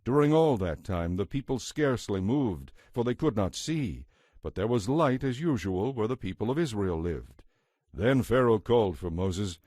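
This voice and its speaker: Lower-pitched male Bible narrator. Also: expressive, normal speed, a little mellow, no room sound, studio quality.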